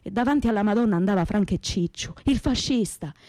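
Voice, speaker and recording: A woman's voice: mid-range voice, expressive, quick pace, a little mellow, no echo, close to an everyday voice. A woman playing a naive, plain-spoken young working-class woman from Reggio Calabria, in a theatrical monologue with a Calabrian accent. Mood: animated. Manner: colloquial dialect-tinged telling, repetitive. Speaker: stage actress, narrator. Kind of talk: theatrical first-person monologue, radio theatre storytelling.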